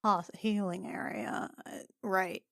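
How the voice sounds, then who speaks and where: A woman's voice: mid-range voice, fairly even intonation, takes it slowly, gravelly, no echo, clean studio sound. A woman chatting and improvising as a player in a tabletop game. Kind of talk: casual chat and improvised role-play.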